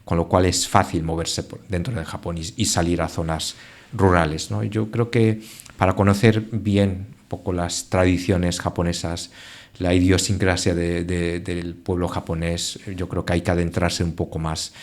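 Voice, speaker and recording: Very deep-voiced man explaining at length. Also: level tone, moderate pace. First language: Japanese. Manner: thoughtful, many hesitations and restarts. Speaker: translator and Japan expert guest. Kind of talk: podcast interview answers.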